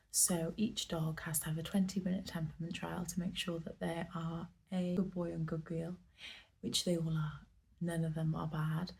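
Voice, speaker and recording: Woman, whispered ASMR talk, rambling, chatty, lowish voice. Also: creaky, warm tone. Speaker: dog daycare worker.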